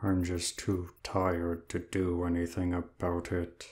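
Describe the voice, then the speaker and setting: Exhausted demon, audio drama, very low, monotone, self-pitying, lazy drawl. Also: slow pace. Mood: weary, dismissive. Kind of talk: audio roleplay drama acting.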